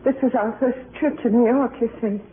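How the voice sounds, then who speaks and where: A woman's voice: a little high, fairly even intonation, lightly acted. Distraught middle-aged radio wife , female actor: timid, faltering delivery, thin phone-like vintage sound with slight noise. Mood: grieving, halting. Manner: halting, faltering, repetitive. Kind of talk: 1950s radio drama acting. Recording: tinny old radio recording, faint noise.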